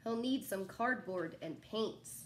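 A young adult woman reading a children's picture book aloud like a teacher, in an animated, expressive way with asides to young listeners. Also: mid-range voice. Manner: animated, pausing to ask young listeners questions. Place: small living room at home, indoors. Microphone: phone camera a short distance away.

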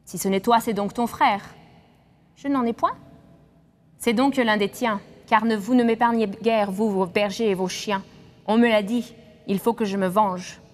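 A woman's voice: mid-range voice, moderate pace. A woman from Germany in her thirties, co-hosting a studio talk show, reciting a fable and chatting with many fillers. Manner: animated, talkative, many fillers. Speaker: co-host.

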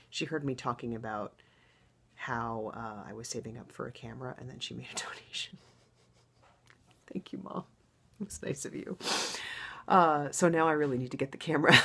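A middle-aged woman with a low, baritone-like voice hosts her podcast from home. Today her voice is husky and gravelly, with a breathy rasp. She talks in a chatty, rambling way and laughs often. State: husky voice after a loud wedding. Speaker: podcast host. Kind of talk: casual podcast monologue.

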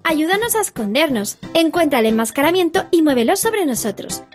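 Very high-pitched little girl hippo. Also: very animated, brisk. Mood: instructive. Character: cheerful little girl hippo playing secret agent. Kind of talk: children's interactive cartoon dub. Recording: loud music behind. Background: music.

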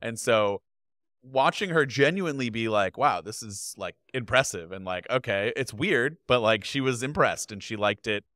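Crisp-voiced man on a podcast mic. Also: mid-range voice, moderate pace, dry recording, studio quality. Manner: animated, gestures a lot while talking. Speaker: podcast guest. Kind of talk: casual chat. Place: home studio, indoors. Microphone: podcast mic on boom arm.

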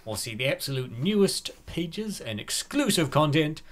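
A man's voice: a little high, big pitch swings, moderate pace, a bit bright. A man co-hosting a comic dub with casual commentary.

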